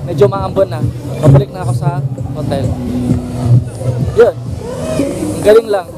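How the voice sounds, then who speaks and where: A man's voice: sits high, animated, unhurried. A man with a Filipino accent chatting casually and informally to his viewers as a travel vlogger. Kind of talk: casual vlog chat in mixed Tagalog and English. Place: outdoors on a busy city street and around a theater entrance. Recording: loud street noise, crowd and music behind. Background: crowd, traffic, music.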